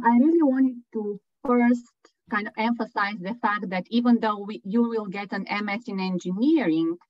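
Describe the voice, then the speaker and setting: Lady prof explaining her program, earnest, muffled call sound. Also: mid-range voice. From Bulgaria. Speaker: engineering professor. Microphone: video call. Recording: dull video call audio.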